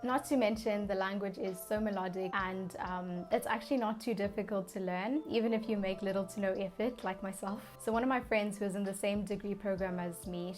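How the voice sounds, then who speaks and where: A woman's voice: medium pitch, a little mellow. A young woman from Zimbabwe talking casually to camera in a vlog, chatty and conversational with lots of "you know" and "like". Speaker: vlogger.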